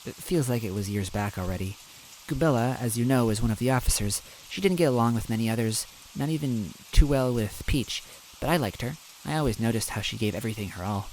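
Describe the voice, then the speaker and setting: A man voicing a tough, spunky young toad woman, a former police officer who is blunt and quick to yell. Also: mid-range voice, slight background noise, natural voice, rough recording. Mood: wistful.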